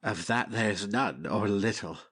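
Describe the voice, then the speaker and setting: A cynical lord of a Shakespeare play, acted by a man. His voice sits a little low and moves at a leisurely pace. He sneers through dry, witty remarks. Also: measured pace, a bit bright, slightly rough. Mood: mocking. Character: mocking, cynical nobleman, idle wit. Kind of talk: dramatic Shakespeare audiobook reading.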